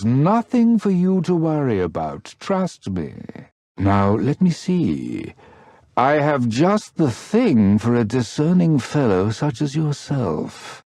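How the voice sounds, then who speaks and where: A sly gossip of a trader, courteous on the surface and insinuating underneath. He takes his time over florid phrases, his pitch swooping freely, recorded close and dry for a video game. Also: sing-song, slow pace, mellow, dry recording, exaggerated voice. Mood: oily, courteous. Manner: florid, insinuating, mock-polite. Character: smooth-talking, sly, ingratiating male rogue trader and gossip. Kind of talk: scripted video game character dialogue.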